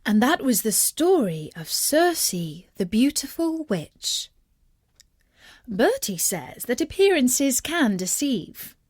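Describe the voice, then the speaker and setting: Slow-paced woman storyteller. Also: sits high, no echo, clean studio sound. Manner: measured, expressive. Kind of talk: scripted children's story narration.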